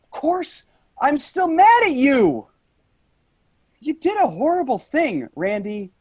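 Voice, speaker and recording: A man acting an agitated, defensive office worker, swinging pitch. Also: big pitch swings, slow, heavily acted. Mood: angry, hurt. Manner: agitated, sarcastic, blunt. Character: angry, defensive grieving male office worker. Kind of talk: staged play reading.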